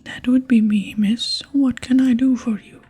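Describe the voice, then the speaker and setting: A woman's voice: a little high, steady tone, unhurried, dark tone, slightly rough, voice pushed up, exaggerated voice. A woman voicing a vain, proud muscleman barbarian with a boastful, emphatic manner in an ASMR game reading. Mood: proud, offended. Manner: boastful, emphatic, addresses others grandly.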